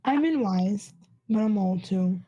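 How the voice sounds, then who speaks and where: A woman's voice: mid-range voice, expressive, unhurried, mellow, lo-fi sound. A woman in her late twenties with a youthful-sounding voice, chatting casually and dryly as a podcast co-host. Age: late 20s.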